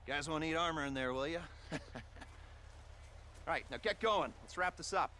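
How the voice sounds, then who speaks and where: A man's voice: fairly high pitch, moderate pace, sharp tone, slight background noise. A man acting as a glib, cynical covert-operations handler in a video game, talking in a chatty, emphatic, sardonic way. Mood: breezy, pleased. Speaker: mission briefer.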